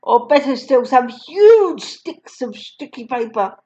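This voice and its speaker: A huge, contemptuous headmistress snarls insults at her pupils, as a woman reader puts her on. Her voice is forceful and scornful, pitched fairly high for a woman. The recording sounds dull and lo-fi. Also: sing-song, slow, full character voice. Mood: sneering. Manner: booming, sneering, spits insults. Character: huge bullying female headmistress, cruel, contemptuous.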